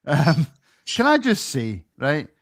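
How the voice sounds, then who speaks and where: A man hosting a podcast, jokey and quick to laugh at himself. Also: a little high, huge pitch swings, dry recording. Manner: jokey, laughing, self-mocking. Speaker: podcast host. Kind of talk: casual podcast chat.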